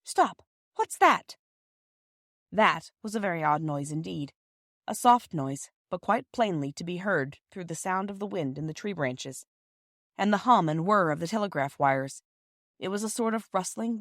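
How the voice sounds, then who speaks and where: Low-pitched woman reading a storybook. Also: animated, normal speed, dry recording, clean studio sound. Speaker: audiobook reader and podcast host. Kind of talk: scripted storybook narration.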